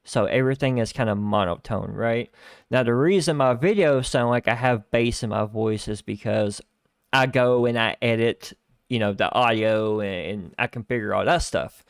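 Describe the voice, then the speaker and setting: A man chatting casually on his live stream from a home setup, his voice gravelly with fry yet smooth and resonant. He rambles, restarts and fills gaps. The sound is dry and thin in the low end, from a starter microphone. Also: normal speed, dry recording. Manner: rambling, with many fillers and restarts. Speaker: livestream host. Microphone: starter desk microphone lacking bass.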